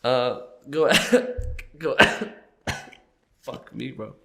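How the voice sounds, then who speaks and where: A young man with a stuffy, slightly nasal voice from a cold talks loosely and jokingly, pitched mid-range. He coughs now and then between his rambling remarks. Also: drawn-out words, gravelly. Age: young adult. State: congested from a cold. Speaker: podcast host. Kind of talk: casual podcast chat.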